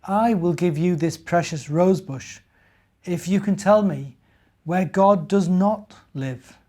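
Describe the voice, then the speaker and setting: A gentle, wise little bear from a children's storybook, voiced by a man. The voice is raised and earnest, speaking with simple, deliberate care. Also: high voice. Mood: quietly serious.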